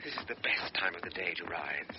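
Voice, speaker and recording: An actor playing a young cavalry lieutenant speaking an inward, reflective monologue in a radio drama. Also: male voice, low voice, lively intonation, a little fast. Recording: lo-fi radio with noisy background.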